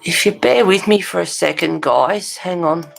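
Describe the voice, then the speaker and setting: Creaky-voiced Australian woman chatting casually. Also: on the low side, normal speed. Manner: rambling, informal, encouraging, addressing viewers as guys. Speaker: host of a colouring live stream. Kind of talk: casual live-stream chat.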